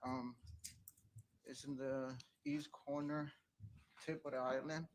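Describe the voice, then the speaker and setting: Nervous guy in his 40s from puerto rico testifying, flat monotone, short hesitant answers. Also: medium pitch, takes it slowly. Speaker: defendant on the witness stand. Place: courtroom.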